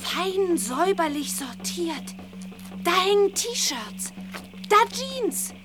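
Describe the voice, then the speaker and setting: A plucky young witch girl with a very high, childlike voice. She sounds eager and curious, in the manner of a children's audio drama, with music clearly behind her. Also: big pitch swings, slow, exaggerated voice. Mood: eager, adventurous.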